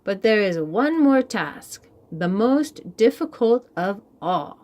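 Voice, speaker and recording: Commanding male village ruler for a children's tale , female reader acting; grave, deliberate, expressive pitch. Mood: stern challenge. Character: stern village chief, commanding man setting hard tasks.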